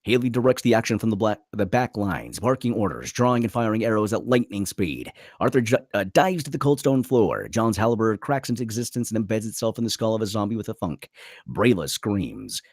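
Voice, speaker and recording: A man's voice: fairly low pitch, big pitch swings, fairly quick, darkish tone, no echo, clean studio sound. A man narrating a screenplay dramatically at a table read.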